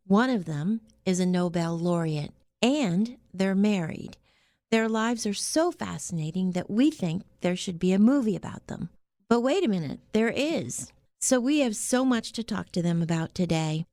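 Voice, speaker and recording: A woman hosting a podcast, reading the show intro and asking questions in a clear, unhurried, scripted way. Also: medium pitch, expressive, a little mellow, dry recording. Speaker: podcast host.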